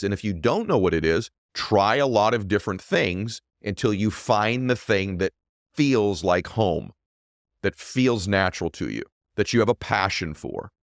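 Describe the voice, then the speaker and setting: A middle-aged man with a deep voice, hosting a show and giving an emphatic motivational monologue that often asks "right?". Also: lively intonation, moderate pace, dry recording, studio quality. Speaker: podcast host.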